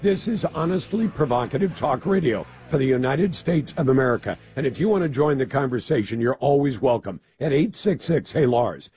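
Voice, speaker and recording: A very husky, gravel-throated man hosts a live talk radio show from a studio. His tone is dark and mid-pitched, and he speaks emphatically, with firm opinions. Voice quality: gravelly, raspy.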